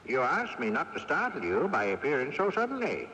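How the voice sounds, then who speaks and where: A man's voice: mid-range voice, huge pitch swings, brisk, sharp tone, highs cut off, exaggerated voice. An actor playing a sly, stubborn old great-grandfather ghost in a theatrical radio mystery drama. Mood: smug, teasing. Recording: off-air 1980s radio tape, reverberant, hissy.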